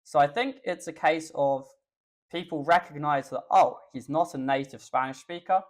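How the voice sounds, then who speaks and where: A young Englishman with an English accent, a guest chatting in a podcast interview, relaxed and thoughtful with the odd um. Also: a little high, normal speed. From England. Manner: relaxed, thoughtful, with filler ums. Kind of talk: casual interview chat.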